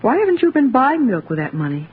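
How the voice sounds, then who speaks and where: A woman's voice: sits high, big pitch swings, quick pace, slightly rough, exaggerated voice. An actress playing a strained, strict stepmother and anxious wife in a 1940s radio drama, earnest and genteel. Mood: firm, reproving. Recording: scratchy, hissy, narrow 1940s radio recording.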